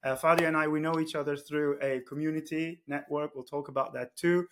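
A man's voice: on the high side, steady tone, fairly quick, fairly bright tone. A man hosting a podcast interview, upbeat and chatty, asking personal questions. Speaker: podcast host.

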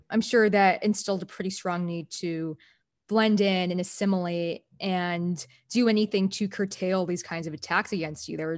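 A woman interviewing a guest, asking empathetic, probing questions. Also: on the low side.

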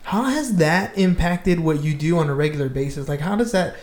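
A woman's voice: deep voice, expressive, brisk, darkish tone. A woman hosting a casual interview, asking informal, rambling questions in a roomy, echoing space. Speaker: podcast interviewer, real estate agent.

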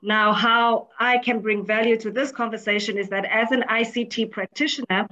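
A woman's voice: on the high side, steady tone, moderate pace, sharp tone. Woman from south africa, panelist, polite, muffled dull sound. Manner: polite, gracious. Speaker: panelist, IT executive. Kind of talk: brief self-introduction.

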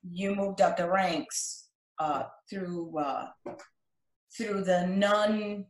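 An older woman from Cuba recounting her life as an interview guest, speaking in measured, halting phrases. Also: lowish voice. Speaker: interview guest, retired flight attendant. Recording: echoey, roomy sound.

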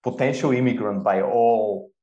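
A middle-aged man from Bulgaria with a Bulgarian accent, telling a personal story deliberately and emphatically as an interview guest. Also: mid-range voice, dark tone, lo-fi sound. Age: 40s. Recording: echoey room.

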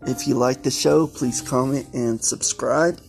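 A man presents a show outro at a slow, measured pace. His pitch is average for a man. Plucked guitar plays faintly behind him. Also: steady tone, gravelly. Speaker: show host. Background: plucked guitar music.

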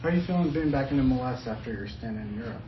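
A man's voice: medium pitch, expressive, very fast, slight background noise. A man working as a sports reporter, asking questions in a lighthearted, joking way. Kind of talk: press interview questions. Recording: roomy, distant, muffled.

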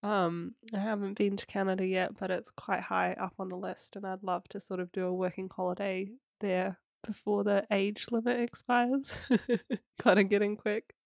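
A woman's voice: mid-range voice, level tone, normal speed, creaky, darkish tone, dry recording, studio quality. Upbeat New woman hosting. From New Zealand. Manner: upbeat, listing things in short phrases. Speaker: podcast host. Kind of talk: scripted intro and ad read, then casual interview.

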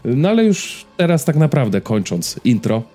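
A man's voice: medium pitch, sing-song, moderate pace, mellow, faint music behind. A man co-hosting a podcast in a casual, informal and joking way, given to anecdotes. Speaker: podcast co-host.

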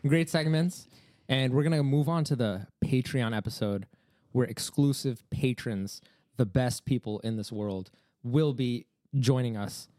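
A man's voice: mid-range voice, warm tone, dry recording. A man with a mid-range voice banters playfully on a casual podcast, laughing readily. His voice is recorded dry and close. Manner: playful, quick bursts of laughter. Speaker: podcast co-host.

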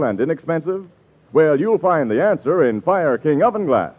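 High-voiced, tinny 1940s radio ad man. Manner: polished, salesmanlike. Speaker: radio announcer. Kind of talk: 1940s radio advertising read. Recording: tinny, phone-like old radio recording.

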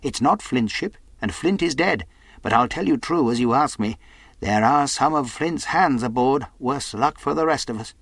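A man's voice: medium pitch, lively intonation, normal speed, a bit bright, husky, dry recording, voice pushed up. A man voicing an earnest, polite boy adventurer who speaks directly, in a storybook reading. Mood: candid, urgent. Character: earnest, plain-spoken boy adventurer, quick to answer.

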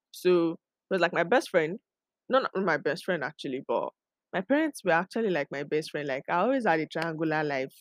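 A woman with a Nigerian accent and a deep voice hosts a podcast, talking casually and emphatically to listeners, often repeating phrases for effect. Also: dry recording, clean studio sound. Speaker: podcast host. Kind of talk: casual conversational monologue to listeners.

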